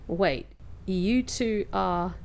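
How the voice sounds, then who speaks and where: A woman playing a sardonic, flustered young woman in a comedy fantasy audio drama, with dry, halting delivery. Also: medium pitch, creaky, a little mellow, slight background noise. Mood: incredulous.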